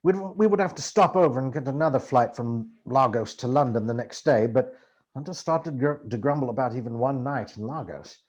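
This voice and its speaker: A male amateur narrator reads literary memoir with theatrical flair. His voice is husky and mid-pitched, with very lively, expressive swings in intonation. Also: a little fast. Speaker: amateur audiobook reader.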